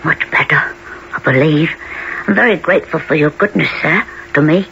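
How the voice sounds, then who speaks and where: A frail, polite orphan boy in a radio play, high and bright. He speaks hesitantly, on a dull recording with faint noise. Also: moderate pace, vocal fry. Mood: weak, polite. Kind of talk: radio drama acting.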